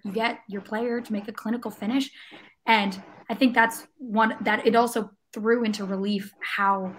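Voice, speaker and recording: A woman's voice: medium pitch, slight background noise, low-quality recording. A woman giving casual match analysis as a panelist on a soccer podcast.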